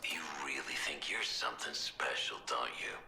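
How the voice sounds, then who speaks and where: A gruff, short-tempered American soldier in a game, very low and breathily growled, with a forceful, sharp edge. He sounds tough and curt, threatening in an echoey room. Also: expressive, moderate pace, whispered, exaggerated voice. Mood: stern threat. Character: gruff, hard-bitten male soldier, hostile and short-tempered. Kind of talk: video game cutscene acting.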